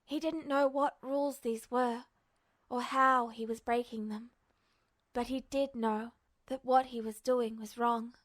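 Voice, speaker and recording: A woman's voice: fairly high pitch, steady tone, measured pace. A woman reading a story aloud as a scripted audiobook-style narration. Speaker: fan fiction podfic narrator.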